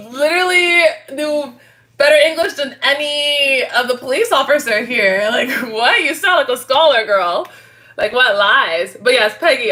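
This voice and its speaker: Bright, higher-voiced woman livestreamer chatting casually. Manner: animated, giggly, slangy. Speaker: livestream host reading and answering viewer chat.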